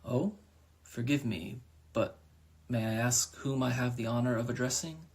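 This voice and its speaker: A man's voice: mid-range voice, a little slow, husky. A man doing a timid apologetic crow-man. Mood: flustered. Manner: apologetic, stiffly formal.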